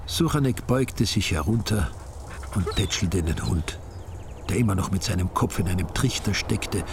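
An older man narrating a radio drama in a measured, wry way. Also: low voice, expressive, noisy background. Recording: studio radio play with music.

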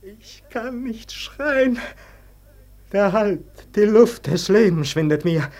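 A man's voice: high-pitched, expressive, takes it slowly, a little mellow. An actor playing an anguished, brooding man facing Death, declaiming verse in a theatrical, plaintive way in a classic German radio drama. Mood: terrified.

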